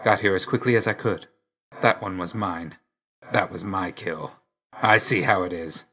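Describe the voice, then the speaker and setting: Stern death god, game character, lowish pitch, bright forceful edge, curt, deadpan, aloof. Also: male voice, normal speed. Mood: matter-of-fact. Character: grim, aloof god of death, stern yet grudgingly loyal. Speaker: video game voice actor. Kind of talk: scripted game character lines.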